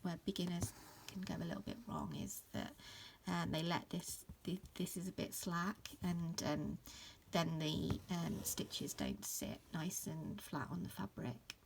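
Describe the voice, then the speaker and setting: A woman in her thirties with a croaky voice from hay fever, casually talking through an embroidery tutorial at home, full of ums and restarts. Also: lowish voice, a little slow, hardly any pauses, slight background noise, low-quality recording. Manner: relaxed, many fillers and restarts. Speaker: embroidery teacher. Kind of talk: casual stitch-along tutorial. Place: upstairs room at home.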